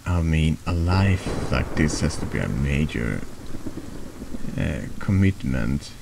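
A man's voice: extremely low pitch, very animated, takes it slowly, gravelly, heavily acted. A man voicing a snide, needling man in a confessional for a horror text game. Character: taunting, insinuating man in a confessional. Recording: noticeable background noise.

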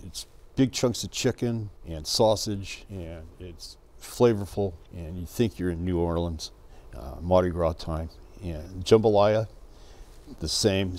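A man's voice: deep voice, darkish tone. An older man, a guest reviewer on a food show, praising dishes with short enthusiastic remarks. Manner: enthusiastic, brief. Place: restaurant set.

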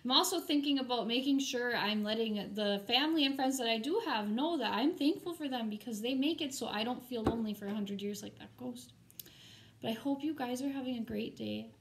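A woman's voice: high voice, slight background noise. A woman teacher reading a picture book aloud to children expressively, with chatty, informal asides.

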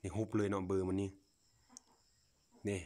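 A man's voice: deep voice, completely flat delivery, normal speed, warm tone. A man from Laos, talking casually and unscripted to viewers as he films outdoors on a phone, in a folksy, repetitive, marvelling way. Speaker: vlogger. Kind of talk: casual unscripted commentary to viewers while filming. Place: outdoors, at a grassy patch.

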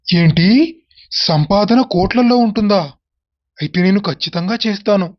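A man playing eager, greedy schemers in the dialogue of an animated moral story. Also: high-pitched, normal speed, muffled recording, natural voice. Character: eager, greedy men plotting shady business in a village tale.

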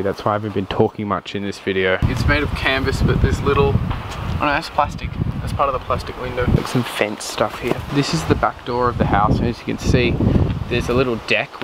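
A man narrating an urban exploration vlog in a casual, sometimes hushed way, with wry asides. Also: medium pitch, heavy background noise. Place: abandoned half-built dome house outdoors and indoors.

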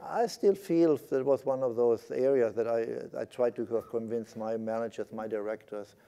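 An older man in his sixties talks on a conference stage through a lapel mic. His voice is mellow and his pitch sits in the middle. He stays conversational and tags his points with a quick "right?". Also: vocal fry. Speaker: panelist, IT executive. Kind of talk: panel discussion.

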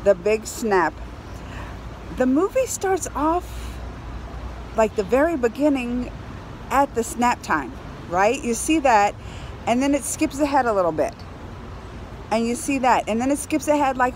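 A woman in her thirties chatting casually to camera as a movie reviewer, emphatic and excitable, repeating phrases for effect. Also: a little high, poor audio. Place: outdoors on a high balcony over a city. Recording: outdoor city noise, passing cars. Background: urban traffic ambience.

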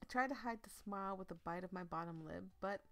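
A woman's voice: medium pitch, fast talker. A woman hosting a gaming video, reading a visual novel aloud with affectionate, gushing commentary.

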